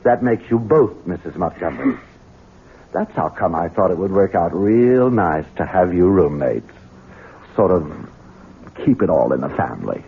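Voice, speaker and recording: An actor playing a callous, bitter, hard-drinking master of the house in an American radio drama, theatrical and sneering. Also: male voice, low voice, animated, moderate pace, husky, full character voice. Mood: smug. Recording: noisy, tinny old radio broadcast.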